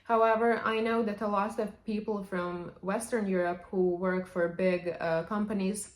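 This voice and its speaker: A woman's voice: medium pitch, fairly even intonation, normal speed. Woman w/ giving language tips to camera, chatty, keeps saying however, echoey room. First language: Serbian. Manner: chatty, opens many sentences with "however". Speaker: language vlogger. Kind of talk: casual advice to camera.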